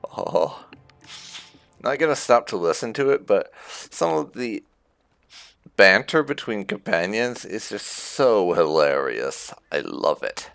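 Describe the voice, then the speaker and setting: Low, gravelly gamer with wildly swinging pitch. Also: female voice, sing-song, slow, no echo. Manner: musing aloud, often murmuring to self. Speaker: gaming video host. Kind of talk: casual gameplay commentary. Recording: music behind the voice. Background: game music.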